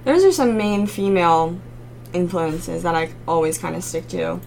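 Young raspy-voiced woman, lowish pitch. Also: normal speed, a little mellow, a little hiss or hum. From Maryland, United States. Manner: chatty, frequent ums and laughs. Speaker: singer-songwriter guest. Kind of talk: casual interview chat.

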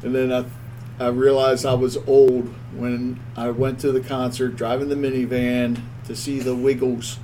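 A man's voice: mid-range voice, flat delivery, moderate pace, warm tone, a little hiss or hum, rough recording. A man with a Baltimore accent hosting a podcast in casual, joking, self-deprecating chat. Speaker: podcast host.

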